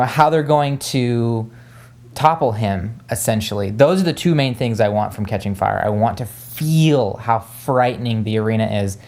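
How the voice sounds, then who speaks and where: A man's voice: on the low side, a little mellow. A man co-hosting a casual book discussion, giving opinions emphatically and repeating phrases to drive points home. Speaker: co-host of a book discussion video.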